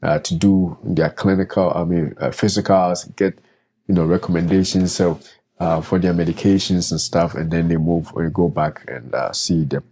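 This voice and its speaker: Deep, mellow-voiced man giving advice. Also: steady tone, moderate pace, breathy edge. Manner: conversational, many fillers and restarts. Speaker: doctor and co-host. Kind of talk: casual advice chat.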